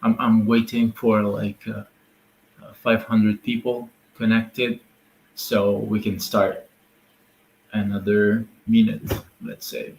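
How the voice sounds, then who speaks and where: A man with an Italian accent hosting a live online meeting, talking in a hesitant, halting way and switching between Spanish and English. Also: on the low side, little pitch movement, creaky, roomy sound, poor audio. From Italy. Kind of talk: livestream briefing.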